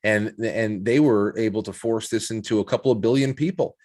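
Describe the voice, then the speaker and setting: A man speaking reflectively in a conversational interview, haltingly repeating words as he builds his points. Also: fairly low pitch, darkish tone. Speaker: podcast guest, show host.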